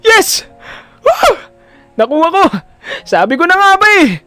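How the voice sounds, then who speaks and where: Man as earnest teenage schoolboy for audio drama: high voice, swinging intonation, excitable, over light music. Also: unhurried, no echo, heavily acted. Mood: overjoyed. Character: earnest, excitable schoolboy son with adult mind.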